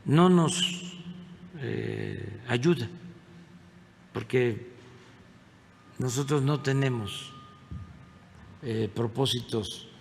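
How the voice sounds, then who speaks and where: A man giving a measured public statement, slow and halting with many filler pauses. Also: medium pitch, sing-song, vocal fry, a little hiss or hum. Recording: long reverb, faint ambience.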